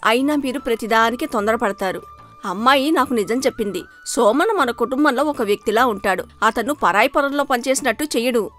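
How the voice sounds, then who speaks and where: A sensible village wife and mother played by a woman in an animated folktale. Her voice is very high, with a persuasive, reasoning delivery. Soft music runs underneath. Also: lightly acted. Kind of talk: animated moral story acting.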